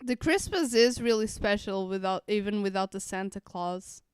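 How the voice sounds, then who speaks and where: Woman streamer chatting casually, super clean smooth voice, dry close sound. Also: mid-range voice, moderate pace, darkish tone, no room sound. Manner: reflective, repeats and rephrases points. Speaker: streamer reacting to a game. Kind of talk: casual live commentary.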